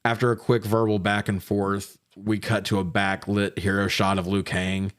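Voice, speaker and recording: A man hosting a casual film review podcast, recapping in an animated, profane way with frequent laughter. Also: lowish voice, normal speed. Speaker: podcast host reviewing films. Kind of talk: casual recap and banter between friends.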